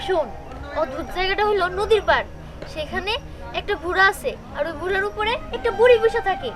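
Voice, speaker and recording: A woman's voice: extremely high pitch, lively intonation, brisk, crowd noise. A woman voicing a chatty, helpful young village girl who explains things reassuringly in a Bengali cartoon. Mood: eager explaining.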